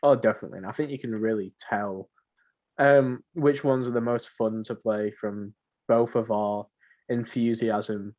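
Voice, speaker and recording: A man's voice: on the low side, fairly even intonation, measured pace, darkish tone. Young guy co-hosting a podcast, kinda rambly, repeats himself, muffled lo-fi mic. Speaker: podcast co-host. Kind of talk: casual podcast chat. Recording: dull lo-fi voice mic.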